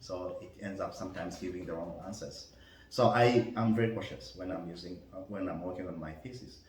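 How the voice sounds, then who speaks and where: A deep-voiced man, a parish priest whose first language is French, answering in a careful, halting way with lots of fillers. He sits away from the mic in a roomy, echoing space with faint noise behind him. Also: darkish tone, far from the mic. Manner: thoughtful, hesitant, many fillers and restarts. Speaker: parish priest and school chaplain. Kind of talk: interview answers.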